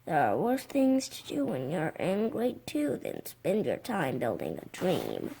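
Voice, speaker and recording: A six-year-old child reading a picture book aloud, haltingly, with stumbles over words. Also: mid-range voice, wildly swooping pitch, gravelly, warm tone, a little hiss or hum. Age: young child, six. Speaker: reader.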